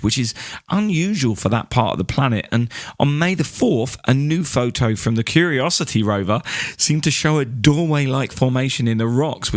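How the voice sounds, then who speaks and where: A man's voice: fairly low pitch, dry recording, studio quality. A man co-hosting a podcast, presenting news in a conversational style. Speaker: podcast co-host.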